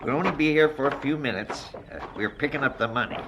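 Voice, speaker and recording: A man's voice: mid-range voice, fast talker, lightly acted. Actor as reluctant henchman over noisy effects. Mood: apologetic. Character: reluctant, polite male henchman in a kidnapping gang. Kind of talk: 1970s radio drama acting.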